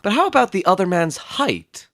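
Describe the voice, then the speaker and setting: A man playing an earnest, puzzled doctor who admires his detective friend, in an expressive dramatic audiobook reading. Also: high-pitched, brisk, dry recording, clean studio sound, exaggerated voice. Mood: curious. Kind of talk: dramatic audiobook reading with first-person narration.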